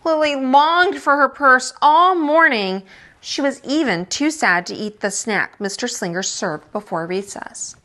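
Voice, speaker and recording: Woman, high-pitched voice, lively storytime read-aloud, teacher, dramatizing. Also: unhurried. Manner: animated, dramatizing, with asides explaining the pictures. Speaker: teacher reading a picture book aloud.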